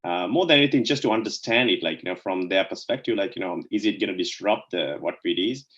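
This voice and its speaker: A low-pitched man from Sri Lanka speaks as an interview guest, circling his points with "like, you know" and tagging them with "right?". The sound is a little muffled and lo-fi. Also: fast, a bit bright. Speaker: guest, DeFi co-founder. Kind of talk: casual interview answers.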